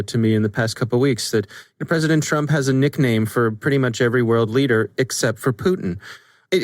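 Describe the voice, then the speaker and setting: A man's voice: mid-range voice, no room sound. A man with an American accent hosting an interview, putting questions in a thoughtful, carefully phrased way. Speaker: podcast host, interviewer.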